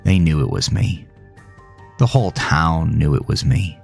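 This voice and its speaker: Deep, gravelly man narrating somber horror. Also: lively intonation. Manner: somber, dramatic, deliberate phrasing. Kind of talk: first-person horror audio drama narration. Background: music.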